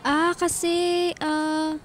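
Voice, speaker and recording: Aggrieved schoolgirl daughter, radio drama, very high voice, tearful protest. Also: flat delivery, very slow, faint music behind, full character voice. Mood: hesitant, uneasy. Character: hurt, resentful schoolgirl daughter. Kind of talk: Filipino radio drama acting.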